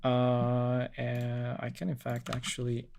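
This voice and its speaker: A man's voice: mid-range voice, completely flat delivery, slow, creaky, heavy background noise. Young male streamer from Poland, easygoing rambling chat, full of fillers, mid-range voice. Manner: rambling, many fillers and restarts. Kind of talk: casual live-stream chat.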